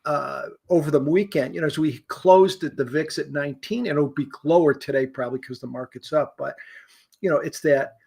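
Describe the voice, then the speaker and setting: A man's voice: fairly high pitch, brisk, vocal fry, a little mellow, slightly rough. An older man from Chicago co-hosting a casual chat show, rambling with fillers and restarts. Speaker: co-host.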